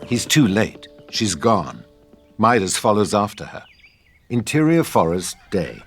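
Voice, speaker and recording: A man's voice: on the low side, big pitch swings, unhurried, creaky, husky, soft background music. A man narrating a scripted drama, reading stage directions. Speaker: narrator of a script table read.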